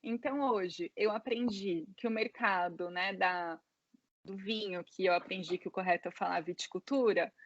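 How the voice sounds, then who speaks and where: A woman's voice: medium pitch, moderate pace, no echo, lo-fi sound. A Brazilian woman in her thirties with a Brazilian Portuguese accent, telling her story as an interview guest in casual, filler-heavy talk. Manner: chatty, many fillers like "né" and "é".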